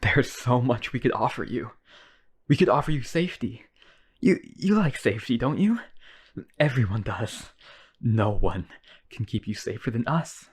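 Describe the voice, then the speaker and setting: A man's voice: medium pitch, expressive, normal speed, breathy edge. A man playing a lonely, obsessive vampire brother in a boyfriend roleplay audio drama, earnest and coaxing. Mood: persuasive, possessive.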